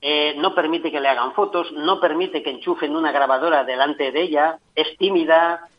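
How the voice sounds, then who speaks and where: A man's voice: on the high side, normal speed, lo-fi sound. Enthusiastic man reviewing a book. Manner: enthusiastic, frequent restarts. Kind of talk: casual radio book chat.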